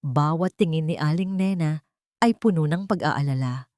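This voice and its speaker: A woman's voice: deep voice, moderate pace, darkish tone, no room sound, studio quality. A woman reading a scripted story as an audiobook narrator, in a dramatic storytelling style.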